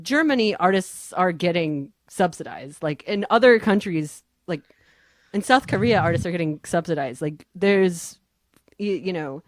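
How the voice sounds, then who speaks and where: Easygoing woman hosting, lowish dry voice. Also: lively intonation, moderate pace, dry recording. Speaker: panel moderator and podcast host. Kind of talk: casual chat, hosting an online panel.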